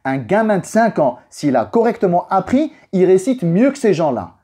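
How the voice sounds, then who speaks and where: A man's voice: sits high, very animated, normal speed, warm tone, slightly rough. A young man, a native Arabic speaker, giving an informal motivational religious reflection in an emphatic way, stressing points with repetition. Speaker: vlogger giving a personal reflection.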